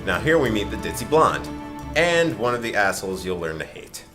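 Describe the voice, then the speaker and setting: A man's voice: on the low side, very animated, brisk. A man giving casual, chatty commentary as he guides a friend through a game, with game music behind. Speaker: let's play co-commentator guiding the game.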